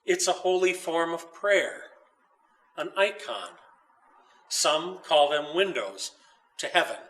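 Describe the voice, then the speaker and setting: A man voicing a kindly, grandfatherly saint who speaks from an icon in a storybook, gently explaining and reassuring. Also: a little high, sing-song, slow pace, distant mic, exaggerated voice, rough recording.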